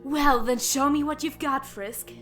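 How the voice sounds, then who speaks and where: A woman's voice: sits high, measured pace, fairly bright tone. A woman playing a cruel, mocking female villain in an audio drama, with theatrical menace and music behind her. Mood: cold menace. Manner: taunting, theatrical.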